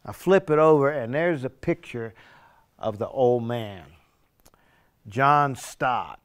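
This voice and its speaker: A man performs a streetwise, remorseful burglar in a one-man stage play. He tells his story conversationally in a mid-pitched voice, tripping over and repeating words as he goes. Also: slow, clean tone, natural voice. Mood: sly, conspiratorial.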